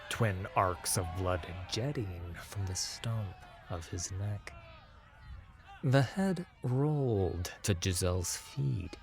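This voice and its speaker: Slow, dramatic male fantasy narrator. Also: low voice. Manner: intense, dramatic, with hushed drops. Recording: music under the voice. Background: music.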